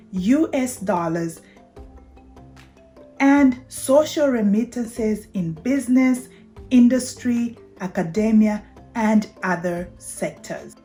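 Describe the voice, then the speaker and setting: A middle-aged woman from Zambia with a Zambian accent, hosting a prepared explainer in a measured, deliberate way. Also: on the high side, dark tone. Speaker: video channel host. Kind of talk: prepared explainer talk to camera.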